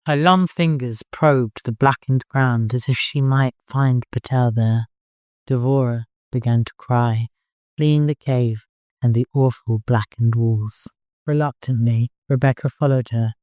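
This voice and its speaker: A narrator reads dramatic fiction for an audiobook. The voice sits at a middle pitch. The recording is dry, with no room sound. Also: unhurried, darkish tone, no room sound.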